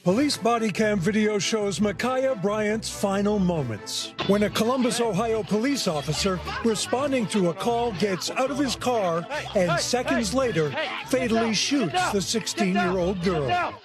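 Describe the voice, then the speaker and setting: A man's voice: sits high, expressive, a little slow. A man reading scripted news narration as a reporter, with music behind him.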